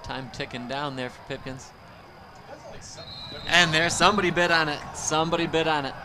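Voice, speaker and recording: A man calling steady, descriptive play-by-play for a high school football game from a press box, with outdoor noise behind him. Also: normal speed. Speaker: play-by-play commentator. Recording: noisy outdoor stadium feed.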